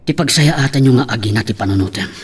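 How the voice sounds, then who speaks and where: A man's voice: mid-range voice, quick pace, slight background noise, natural voice. A man playing a sly, persuasive friend secretly in love, in an audio romance drama. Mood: persuasive, ardent. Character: scheming lovesick male friend, sly and persuasive.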